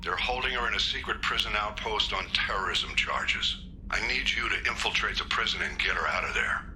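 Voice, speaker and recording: An actor playing a stern military admiral in a video game, giving a formal, businesslike briefing in clipped phrases. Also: male voice, lowish voice, brisk, pushed and bright, a little hiss or hum. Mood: grave, urgent. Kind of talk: dramatic video game dialogue.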